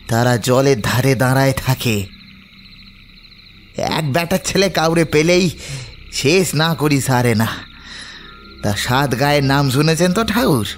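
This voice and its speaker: A man's voice: mid-range voice, natural voice. A man playing a frightened village boatman. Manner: pleading, rustic, superstitious speech. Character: superstitious rural male boatman, fearful.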